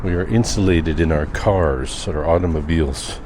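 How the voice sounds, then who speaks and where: A man giving a musing, unhurried and wry vlog monologue while out on the sidewalk. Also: very deep voice. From Canada. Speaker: daily vlogger. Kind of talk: reflective walking vlog monologue. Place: outdoors on quiet sidewalks. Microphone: handheld camera with wind muff. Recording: outdoor street noise, passing cars, wind.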